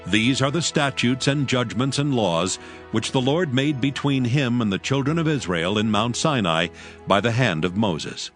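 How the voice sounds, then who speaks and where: A man's voice: medium pitch, normal speed, fairly bright tone, faint music behind. A man narrating a dramatized scripture reading from a script.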